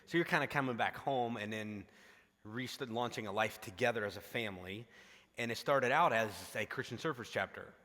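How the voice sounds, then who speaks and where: A man's voice: medium pitch, moderate pace, sharp tone. A man speaking as a pastor hosting a conversational interview, informal and a little meandering. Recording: reverberant large hall.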